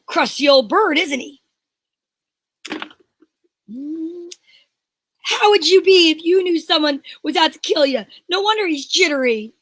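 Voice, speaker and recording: A woman playing a brusque male police inspector in an amateur radio drama, brisk, blunt and casual. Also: extremely high pitch, expressive, a bit bright, no room sound, heavily acted.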